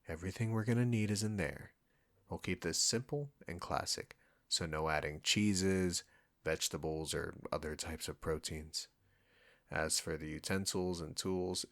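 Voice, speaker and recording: A man's voice: low-pitched, a bit bright. A man playing a cocky, condescending chef who teases a longtime rival, in an intimate roleplay audio drama spoken to the listener. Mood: brisk, businesslike. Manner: smug, bantering, teasing.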